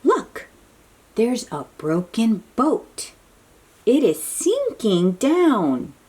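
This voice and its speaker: A woman reading a picture book aloud to young children in an animated, breathy, questioning way, drawing out her words. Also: on the high side. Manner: animated, drawn-out, questioning delivery aimed at little children. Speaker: children's read-aloud host.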